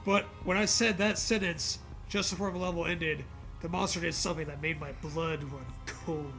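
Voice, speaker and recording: A man's voice: on the high side, moderate pace, music in the background. A man hosting a dramatic reading of a story, mixed with crude, joking commentary. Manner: profane, jokey, animated reactions.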